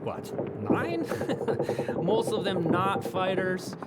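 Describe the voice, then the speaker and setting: Folksy pirate numbers man, dry and hesitant, voice bounces around, loud boat noise, audio drama. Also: sits high, big pitch swings, takes it slowly, full character voice. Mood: dry, placating. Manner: folksy, hesitant, drily understated. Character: folksy self-taught male pirate bookkeeper, mild and canny. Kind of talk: fantasy audio drama acting. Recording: loud ship and water ambience.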